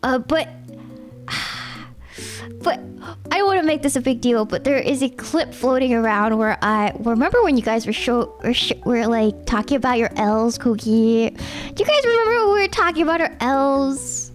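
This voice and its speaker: A woman's voice: sits high, animated, moderate pace, soft background music. A young Canadian woman with a Canadian accent, streaming and chatting casually, rambling and talking straight to her viewers. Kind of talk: casual chat with chat readers.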